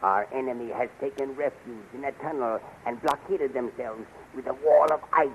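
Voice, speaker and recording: An actor playing a scheming older majordomo, formal and obsequious, in a 1930s radio serial. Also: male voice, mid-range voice, normal speed, lo-fi sound, lightly acted. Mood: earnest, urging. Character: scheming, flattering older male courtier and majordomo. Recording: noisy 1930s radio recording.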